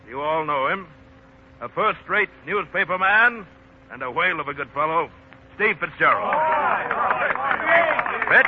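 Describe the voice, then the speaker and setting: An actor playing a genial newspaperman turned candidate, giving a club speech in 1940s radio style. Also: on the high side. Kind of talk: 1940s radio acting, after-dinner speech. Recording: tinny, noisy 1948 radio broadcast.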